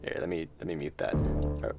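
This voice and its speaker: A man with a deep, low voice talks casually through a livestream, thinking out loud and answering chat. His voice has a gravelly, rough texture. Loud music plays steadily underneath him. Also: little pitch movement, fairly quick. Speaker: indie game developer livestreaming. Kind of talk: casual livestream commentary while making music. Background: loud music from his own track.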